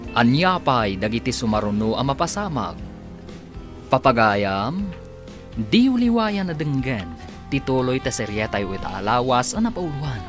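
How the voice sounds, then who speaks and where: A man's voice: medium pitch, sing-song, moderate pace. A man with an Ilocano accent narrating a radio drama in a dramatic announcer's delivery. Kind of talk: scripted narration. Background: music.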